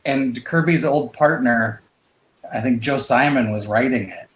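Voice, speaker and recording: A man in casual conversation about comics, explaining things in a tentative, halting way as a panelist. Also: mid-range voice, roomy sound, dull audio, background noise. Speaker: panelist on a comics drawing show.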